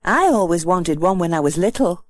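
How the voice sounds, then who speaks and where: A woman's voice: lowish voice, animated, moderate pace, dry recording, close to an everyday voice. A woman voicing a practical, knowing female character in a children's storybook, explaining things confidently. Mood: keen.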